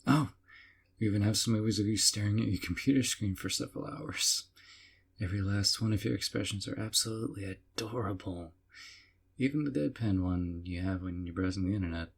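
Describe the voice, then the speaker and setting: A man playing a doting, obsessive young man who keeps the listener, speaking in a calm, hushed, sweetly possessive way in an audio roleplay. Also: fairly low pitch, a little fast, gravelly, slightly rough.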